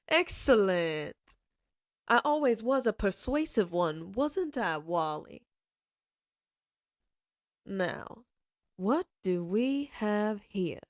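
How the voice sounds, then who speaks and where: A woman playing a menacing female outlaw leader who taunts her captives in a slow, mocking drawl. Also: mid-range voice, sing-song, dry recording, clean studio sound. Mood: taunting. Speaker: voice actress. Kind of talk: audio roleplay acting.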